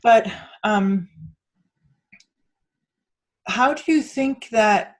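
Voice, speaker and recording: A woman's voice: on the high side, extremely slow. Hesitant woman podcast host from Denmark. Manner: hesitant, rambling, searching for words, thinking aloud. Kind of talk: conversational interview.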